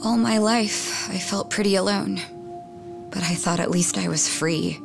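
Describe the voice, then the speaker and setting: A woman's voice: mid-range voice, a little slow, vocal fry, over music. An actress playing a blunt, wounded young woman in a video game, speaking frankly and with emotion. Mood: regretful. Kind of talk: English game voice acting.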